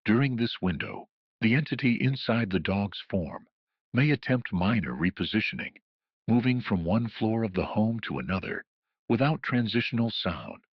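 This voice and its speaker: A man's voice: on the low side, very animated, normal speed, husky. A man narrating a scripted horror emergency broadcast as a solemn, ominous official announcer, in muffled lo-fi audio with a roomy echo.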